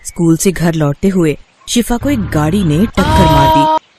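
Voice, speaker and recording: A man's voice: high-pitched, big pitch swings, a little slow, heavy background noise. A man narrating a moral bedtime story as scripted storybook narration.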